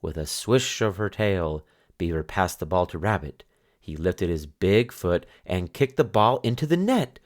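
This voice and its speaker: A man reading a children's picture book aloud as narrator, in expressive storytelling style. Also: low-pitched, measured pace.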